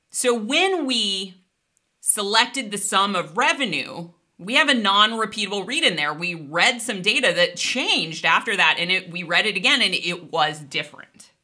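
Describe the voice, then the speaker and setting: A woman in her forties explaining a technical topic in a lively, conversational video lesson, gesturing as she talks. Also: medium pitch. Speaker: database instructor.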